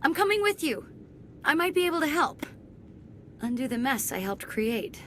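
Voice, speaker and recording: An anxious young colony researcher with a high voice. She is earnest and weighed down by guilt, in dramatic science fiction game style. A faint ambience runs underneath. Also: very animated, normal speed, a bit bright, a little hiss or hum. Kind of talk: dramatic video game acting.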